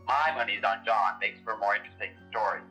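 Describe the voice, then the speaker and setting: A woman's voice: a bass voice, quick pace, pushed and bright, low-quality recording. A woman in an in-character panel discussion, talking in a dry, pointed, challenging manner. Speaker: podcast panelist in character as a researcher. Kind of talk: semi-improvised in-character discussion of a horror audio drama. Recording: music playing behind the voice. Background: music.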